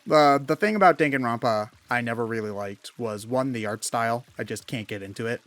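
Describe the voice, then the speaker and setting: A man's voice: mid-range voice, fairly quick, fairly bright tone. A man giving casual video game commentary, talking in a hesitant, rambling way. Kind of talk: casual gameplay commentary chat.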